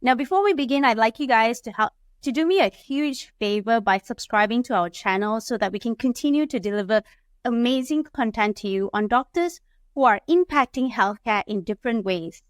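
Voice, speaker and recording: Woman hosting a podcast, smooth voice. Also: fairly high pitch, lively intonation, fairly quick, dry recording, clean studio sound. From Malaysia. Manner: scripted, upbeat intro then chatty questions with fillers. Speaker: podcast host. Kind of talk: podcast interview.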